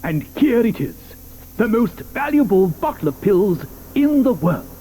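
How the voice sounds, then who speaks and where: A whimsical, eccentric chocolate inventor in a studio audio drama, his voice pitched high and swooping widely, yet mellow in tone. He hesitates with little "uh"s, then spins a tale full of wonder. Soft music sits underneath. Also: sing-song, measured pace, slight background noise. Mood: storytelling. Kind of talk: 1980s audio drama acting.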